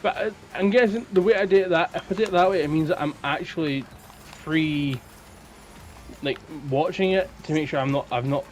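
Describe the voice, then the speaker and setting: A man co-hosting a casual gaming podcast, talking in a halting way and often repeating his words. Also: a little high. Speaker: podcast co-host. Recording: faint background noise.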